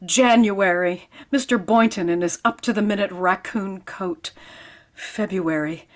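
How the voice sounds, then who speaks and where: A woman playing a wry, lovelorn high school English teacher, narrating dryly in vintage radio comedy style. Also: natural voice. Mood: wistful, wry. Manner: dry, deliberate comic delivery.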